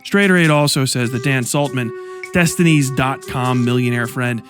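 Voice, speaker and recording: A man reads a scripted commentary timeline at a middling pitch, measured and dry with a sardonic edge. A guitar track plays clearly underneath his voice. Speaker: commentary narrator. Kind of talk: scripted documentary-style narration. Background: guitar music.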